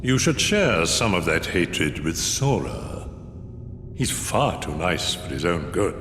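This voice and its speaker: An actor playing a cold, mocking, scheming older man in a video game cutscene, with measured, theatrical, condescending delivery. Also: deep voice, very animated, background noise, full character voice. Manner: condescending, sardonic. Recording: echoey long reverb, music underneath. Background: game score music.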